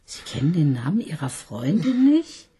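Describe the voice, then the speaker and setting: A woman's voice: sits low, very animated, moderate pace, mellow, a little hiss or hum. An actress playing an ironic, coaxing female police inspector in a radio play, often dropping into a whisper. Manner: teasing, coaxing, mocking. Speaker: police detective.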